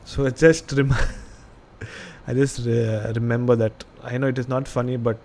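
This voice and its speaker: A man's voice: medium pitch, level tone, measured pace, a little mellow, a little hiss or hum. A young man from India with an Indian English accent, a bit tipsy, rambling, laughing and reading out chat messages as a live podcast host. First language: not English. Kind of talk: casual live-stream chat and story reading.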